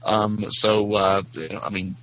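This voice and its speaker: A man's voice: fairly low pitch, steady tone, measured pace, sharp tone, rough recording. A middle-aged man chatting casually as a podcast guest in a rambling, stop-and-start way. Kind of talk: casual chat. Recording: faint background noise.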